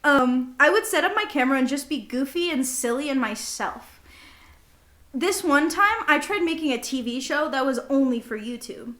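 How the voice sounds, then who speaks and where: A woman's voice: sits high, animated, normal speed, fairly bright tone. A young woman co-hosting a podcast, chatting casually and giggling in a goofy, self-mocking way. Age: young adult. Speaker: podcast co-host. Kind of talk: casual chat between best friends, with bits of journal reading. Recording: roomy, echoey indoor sound.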